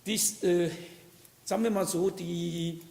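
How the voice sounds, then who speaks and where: Male diplomat, raised pitch with fry, halting restarts, long hall reverb. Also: fairly even intonation, mellow. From Taiwan. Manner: halting, restarts and repeats words. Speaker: diplomat and panelist. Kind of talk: panel discussion.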